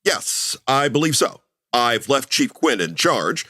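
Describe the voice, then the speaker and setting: An eager spaceship commander, played by a man in a comedy space drama. He sounds earnest and halting, with hugely animated intonation. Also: mid-range voice, dry recording, studio quality, heavily acted. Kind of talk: comedy sci-fi audio drama.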